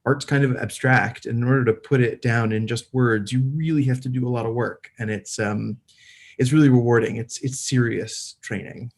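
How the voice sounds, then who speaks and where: A man who is art history faculty speaks in a relaxed way during a workshop discussion. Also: medium pitch, moderate pace, creaky.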